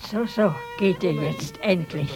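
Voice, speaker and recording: A woman's voice: on the low side, unhurried, creaky, over music. An actress playing a proud, frank old woman in a German radio drama, questioning bluntly and insistently. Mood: frank, nostalgic. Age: older. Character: proud, frank old woman, teasing about youthful love. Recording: 1980s radio play on cassette.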